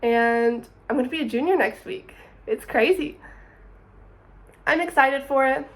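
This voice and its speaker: A woman's voice: high-pitched, unhurried. A woman of twenty, narrating her summer in a chatty, upbeat vlog voice-over. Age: 20s. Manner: chatty, upbeat, says "super" often. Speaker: vlogger.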